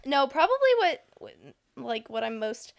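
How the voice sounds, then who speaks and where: A woman's voice: a little high, animated, normal speed, gravelly. A teenage woman co-hosting a casual chat with a friend, teasing and giggling as she reads out questions. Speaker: podcast co-host.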